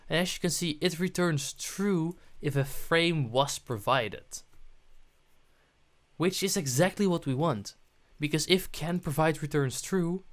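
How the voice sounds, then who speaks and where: A man presenting a programming tutorial, narrating his steps on screen as he goes. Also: on the high side.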